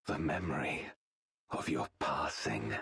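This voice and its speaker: An actor playing a menacing ancient male villain in a video game, speaking slowly and gravely. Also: expressive, fairly bright tone, heavily acted. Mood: brooding. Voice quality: deep gravelly. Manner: slow, grave delivery.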